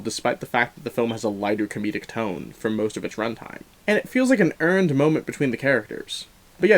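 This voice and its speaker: A man giving a casual, opinionated film review, leaning emphatically on key words in an earnest way. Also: medium pitch. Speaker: film review show host.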